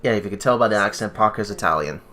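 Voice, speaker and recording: A man's voice: fairly low pitch, racing, pushed and bright. A man co-hosting a let's play, chatting casually and explaining things as he goes, with game sound behind him. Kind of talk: casual gameplay commentary. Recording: clear background noise and game ambience. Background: video game sound.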